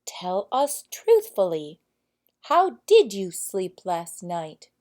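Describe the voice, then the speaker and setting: A woman's voice: mid-range voice, wildly swooping pitch, slow pace, darkish tone. A woman voicing an earnest young prince in a fairy tale, speaking emphatically. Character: earnest young storybook prince, eager to marry.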